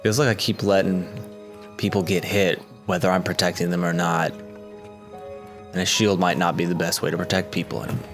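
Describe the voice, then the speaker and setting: A man voicing an insecure, earnest young male soldier who talks in halting, self-doubting rambles. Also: low-pitched, flat delivery, faint music behind, lightly acted. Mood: dejected. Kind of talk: in-character tabletop roleplay.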